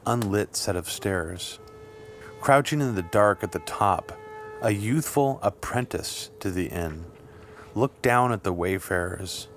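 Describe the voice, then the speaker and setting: A man reading scripted literary fiction as an audiobook narrator, with measured, deliberate phrasing. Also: fairly low pitch, a little hiss or hum. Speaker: audiobook reader. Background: music.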